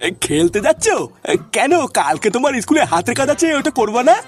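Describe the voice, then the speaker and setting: A man's voice: very high voice, sing-song, quick pace, faint music behind. A man voicing a doting, inquisitive old grandfather in a comic cartoon, with a Bengali accent. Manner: fussy, inquisitive.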